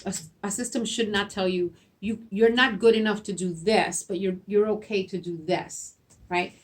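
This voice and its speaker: Middle-aged woman, Spanish native, school principal; earnest, restarts often, mid-pitched voice. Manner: earnest, repeats herself, frequent "right?" tags. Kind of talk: interview answers.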